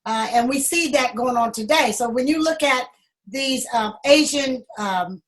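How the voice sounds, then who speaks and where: Slow, high-voiced woman guest. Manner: slow, hesitant, many ums. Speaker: panel guest. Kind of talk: public affairs panel talk.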